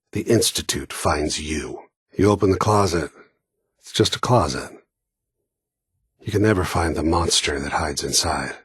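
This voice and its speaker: A weary hardened killer for a game, deep gravelly voice, slow taunting delivery, really dry sound. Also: male voice, no room sound, heavily acted. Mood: mocking, calm. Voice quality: gravelly, husky. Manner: sardonic, deliberate, taunting. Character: cold, world-weary, menacing hardened male mercenary killer. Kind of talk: video game voice acting.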